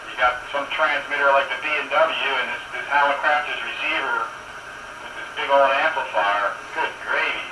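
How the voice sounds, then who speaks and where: A man's voice: mid-range voice, fairly even intonation, moderate pace, roomy sound, distant mic, background noise. A man chatting casually and technically as a hobbyist showing off his equipment.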